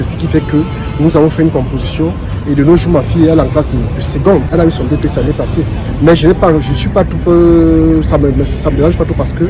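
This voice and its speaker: Fast-talking father in a street interview. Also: on the high side. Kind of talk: vox pop answer. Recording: loud music over street audio.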